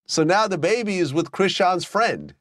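A man's voice: high voice, lively intonation, moderate pace, a bit bright, no echo. A man in his thirties in a small studio, talking through celebrity gossip in a matter-of-fact way. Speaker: podcast host. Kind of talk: gossip commentary in a podcast.

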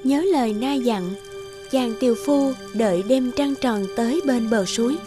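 High-voiced woman narrating a fairy tale. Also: normal speed. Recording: music behind the voice.

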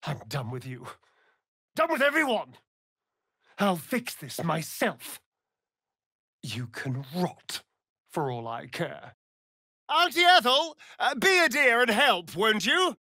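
A man's voice: high-pitched, big pitch swings, takes it slowly, pauses often, sharp tone, no room sound, studio quality, full character voice. A male actor voicing a sly, vain vampire rogue in a fantasy video game, with an arch, flamboyant, sardonic drawl. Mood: bitter, scornful, then mocking.

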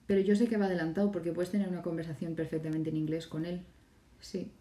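A woman in her thirties with a Catalan-Spanish accent chats through viewer questions in an easy, talkative way. Her voice sits low and mellow. She is recorded at home on a phone or webcam, a little back from the mic, and the room echoes around her. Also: little pitch movement, quick pace, far from the mic. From Catalonia, Spain. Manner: chatty, reads viewer questions aloud and answers them. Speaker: teacher and blogger hosting a live stream. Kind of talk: casual live-stream Q&A. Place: home room with bookshelves, indoors. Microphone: phone or webcam in front of her.

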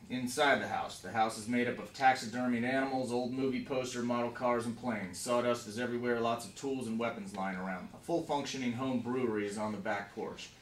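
A man with a mid-pitched voice narrates stage directions at a script read-through. He is some distance from the mic in an echoey conference room. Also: a little hiss or hum. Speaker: screenwriter reading stage directions. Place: conference room around a long table. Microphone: camera mic across the room.

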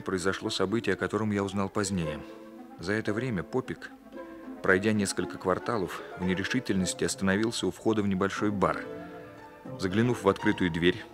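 An actor playing a composed, authoritative police captain in a radio drama, measured and commanding. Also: male voice, low-pitched, close to an everyday voice. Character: composed, authoritative male carabinieri captain investigating crime. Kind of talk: radio drama dialogue and first-person narration.

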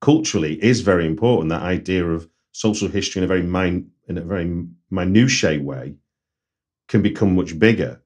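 A man from Manchester with a Mancunian accent, hosting a podcast interview in a relaxed conversational way. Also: deep voice, a little fast, breathy edge. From Manchester, England. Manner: conversational, frequent backchannel murmurs. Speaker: podcast host. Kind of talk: casual interview chat.

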